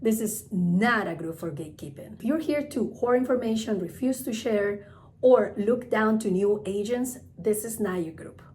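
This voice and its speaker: A woman's voice: mid-range voice, normal speed, darkish tone. A woman who is a native Spanish speaker with a Spanish accent, giving an instructional talk as a trainer, emphatic and repeating key phrases. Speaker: travel agent and group trainer. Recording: clearly noisy recording with ambient sound.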